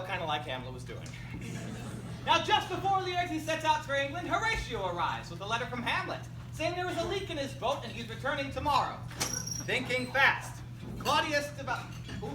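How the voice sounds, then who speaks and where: Woman reading out a funny play script, swoopy voice, wry asides, far from the mic. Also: high voice. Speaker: narrator of a school stage play. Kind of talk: comic scripted narration to a live audience. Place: indoor live performance, distant microphone. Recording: echoey, noisy room recorded from far off.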